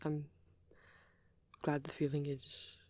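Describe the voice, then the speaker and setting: A woman voicing a shy, awkward young vampire boyfriend in an ASMR roleplay, hesitant and rambling, with a soft, breathy delivery. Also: low-pitched, completely flat delivery, no room sound, clean studio sound. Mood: flustered, affectionate. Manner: hesitant, halting, rambling, nervous.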